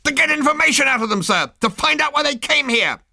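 A man's voice: very high-pitched, animated, a little fast, creaky, no room sound, clean studio sound, voice pushed up, exaggerated voice. A man voicing a furious, officious male spaceship officer who squeals and barks his lines. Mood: furious shouting. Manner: squealing, barking shouts.

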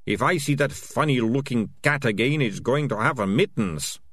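Storybook thug: a man putting on a rough rat catcher, raised and menacing, dry sound. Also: mid-range voice, expressive, moderate pace, fairly bright tone, no room sound. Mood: threatening. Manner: rough, threatening, common speech. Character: gruff bullying male rat catcher.

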